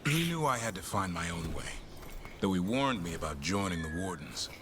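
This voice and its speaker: An actor playing a restless elf warrior in a video game, gruff but gentle with animals. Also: male voice, sits low, sing-song, normal speed, background noise. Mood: reflective, wry.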